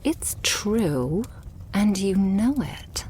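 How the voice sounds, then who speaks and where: A woman playing a loving, possessive vampire girlfriend in an intimate ASMR roleplay, murmuring softly and breathily. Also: mid-range voice, animated, a little hiss or hum. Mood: playful, teasing. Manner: soft, breathy, slow murmuring that often drops to whispers.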